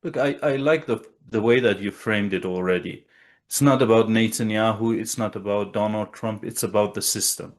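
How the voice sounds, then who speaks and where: A man from Iran giving geopolitical analysis as an interview guest, speaking deliberately with halting pauses. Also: fairly low pitch, steady tone.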